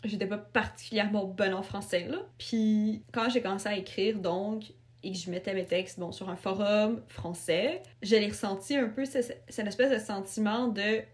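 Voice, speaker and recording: A young adult woman with a Québécois French accent, a writer chatting as a podcast guest, talking casually with frequent fillers and quick laughs. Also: medium pitch, steady tone.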